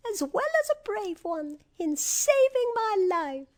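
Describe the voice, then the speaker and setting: A woman voicing a tiny, proud queen of the field mice in a squeaky little voice for a storybook. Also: very animated, slow, heavily acted. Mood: regal. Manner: regal, indignant, exclamatory.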